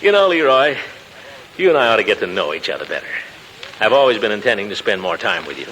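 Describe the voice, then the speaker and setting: Blustery fond middle-aged uncle, old 1940s radio comedy, pitch swoops everywhere, bit of noise. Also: male voice, very animated, fast, a bit bright. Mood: fond, fatherly. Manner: blustery, hesitant, chuckling. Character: pompous, fond, flustered middle-aged uncle raising his nephew. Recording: noisy 1940s radio recording.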